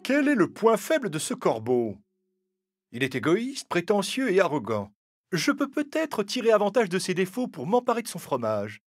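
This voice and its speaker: A man voicing a cunning, smooth-talking fox in an animated fable, with wheedling, theatrical phrasing. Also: sits high, normal speed, no room sound, faint music behind, exaggerated voice. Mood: scheming. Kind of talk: animated fable character acting.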